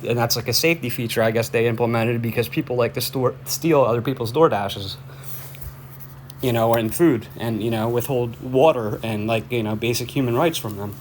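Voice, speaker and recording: Male caller making a complaint by phone, mid-pitched, halting and repetitive, insistent, with light noise on the recording. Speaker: caller reporting a suspicious order to police. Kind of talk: phone conversation, explaining a complaint. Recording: noisy phone call recording.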